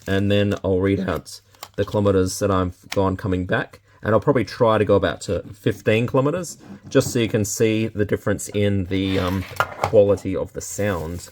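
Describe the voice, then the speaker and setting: A man's voice: on the low side, a little mellow. An Australian man giving a casual, unscripted product demonstration in a laid-back way, thinking aloud as he handles items. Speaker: product reviewer. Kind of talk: casual unboxing and demonstration talk. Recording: clear background noise, handling clicks.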